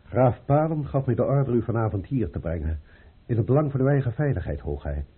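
A formal count reporting orders, husky, old radio play, muffled phone-like audio. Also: male voice, mid-range voice, expressive, fairly quick. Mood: dutiful, firm. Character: dutiful, formal count delivering orders to royalty. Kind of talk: radio drama acting.

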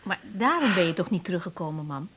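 An actress playing a caring, practical grown-up daughter, a young woman talking soothingly and probing gently, in a naturalistic radio drama. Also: on the low side, expressive, fast talker, breathy edge. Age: young adult.

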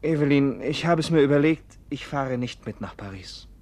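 An actor in a German radio play voicing a polite, conflicted English gentleman who speaks earnestly and hesitantly. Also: mid-range voice, animated, normal speed. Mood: troubled, confessing. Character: conflicted English gentleman, secretly afraid, tenderly flirting.

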